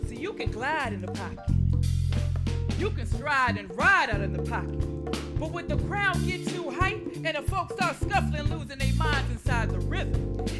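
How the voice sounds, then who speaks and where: A jazzy, knowing female storyteller, acted by a woman addresses the crowd in slangy, rhythmic spoken word. Her high voice swings widely in pitch, often near singing, with prominent guitar music behind it. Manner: lilting, half-sung, slangy.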